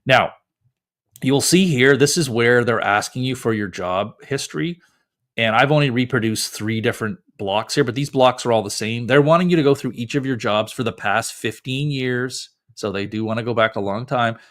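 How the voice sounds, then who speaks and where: A man's voice: mid-range voice, normal speed, a bit bright. Male lawyer from Canada, mid-range voice, informal screen-share explainer, plenty of ums and restarts. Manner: casual, conversational, with frequent fillers and self-corrections.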